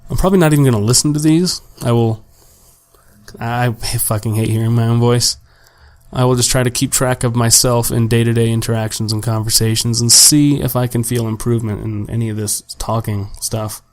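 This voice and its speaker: A young man, slightly raspy from a long day of talking, hosting a solo podcast at home and rambling casually and profanely about his week, with plenty of self-deprecation. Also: medium pitch, steady tone, a little fast. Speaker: podcast host. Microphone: home desk microphone without pop filter.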